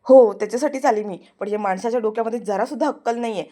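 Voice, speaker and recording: A woman voicing a proud, sharp-tongued young woman who scolds and taunts. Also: mid-range voice, fast talker, natural voice. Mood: scolding.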